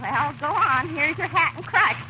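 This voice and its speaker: An actress playing a tart, sarcastic old wife with slow, cutting retorts in a 1930s radio comedy. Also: female voice, high-pitched, lively intonation, dull audio. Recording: noisy, crackly 1930s radio recording.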